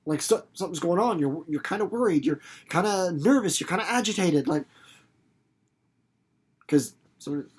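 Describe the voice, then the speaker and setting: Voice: mid-range voice, animated, normal speed, pauses often, a little mellow, breathy edge. Casual teacher-narrator, mid-range voice, informal, rambling, theatrical, explaining a story to students. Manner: rambling, theatrical, with acted-out examples and sound effects; informal, playful, repeats words for emphasis. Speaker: reader and literature teacher hosting a read-aloud video series. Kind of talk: casual read-aloud commentary and explanation for students.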